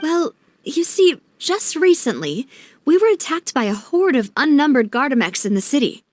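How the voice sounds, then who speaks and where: Casting: confident young female investigator in a game, high voice, polite, playful, resolute. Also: very animated, moderate pace, no echo. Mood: amused, probing. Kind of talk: video game dialogue acting.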